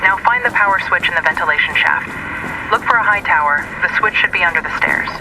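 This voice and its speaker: Calm mission leader lady on game radio, sounds far off, super noisy behind. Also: on the low side, fast. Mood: steady, appreciative. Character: composed woman mission leader briefing over radio. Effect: radio filter. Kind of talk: scripted game radio briefing. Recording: radio transmission buried in loud noise.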